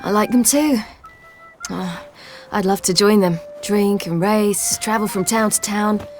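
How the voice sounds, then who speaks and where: A woman's voice: mid-range voice, a little slow, a little mellow, lightly acted. Woman acting a spirited young British warrior, music behind. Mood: wistful, confiding. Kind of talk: video game voice acting.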